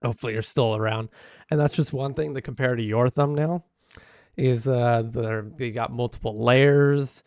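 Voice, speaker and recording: Hoarse, rambling male streamer. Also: medium pitch, steady tone, normal speed, darkish tone, dry recording, studio quality. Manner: rambling, many fillers and restarts. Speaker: streamer critiquing videos. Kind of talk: casual live-stream commentary.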